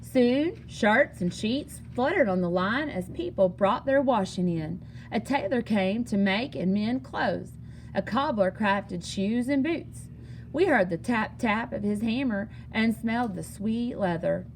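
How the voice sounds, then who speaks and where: A woman reading a children's picture book aloud in an expressive, singsong storytelling manner. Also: fairly high pitch, measured pace, a little hiss or hum, rough recording. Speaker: story reader.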